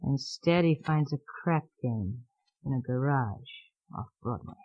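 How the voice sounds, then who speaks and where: An actress playing a bitter, world-weary city widow with a sardonic drawl, in hard-boiled old radio style. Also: female voice, extremely low pitch, lively intonation, measured pace, dry recording, full character voice. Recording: muffled vintage radio broadcast.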